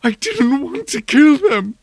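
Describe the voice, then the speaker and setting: A man's voice: extremely high pitch, big pitch swings, slow pace, gravelly, no echo, voice pushed up, heavily acted. A man voicing a murderous, tearful police officer in a horror audio drama, pleading and stammering. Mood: screaming anguish.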